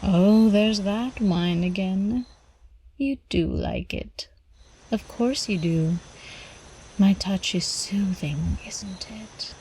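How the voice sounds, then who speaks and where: A woman voicing an ancient, seductive vampire countess in an intimate ASMR roleplay, teasing and cooing with quiet menace, often slipping into whispers. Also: medium pitch, slow pace, slight background noise. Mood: doting, teasing.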